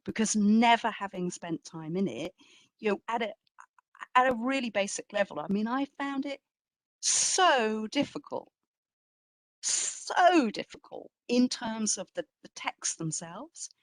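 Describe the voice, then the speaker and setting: A woman speaking informally as a guest curator answering questions, with an animated, self-deprecating, emphatic manner. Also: fairly high pitch, a little slow, dry recording, poor audio.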